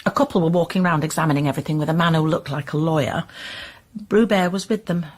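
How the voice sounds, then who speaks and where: Husky, resentful reluctant informant woman. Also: deep voice, quick pace. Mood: guarded disdain. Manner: clipped, guarded, scornful. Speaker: actress in a radio crime drama. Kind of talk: radio drama acting.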